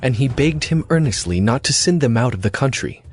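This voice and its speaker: Man, audio Bible narrator, mid-pitched voice, scripted storytelling, faint music underneath. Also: expressive, brisk, darkish tone.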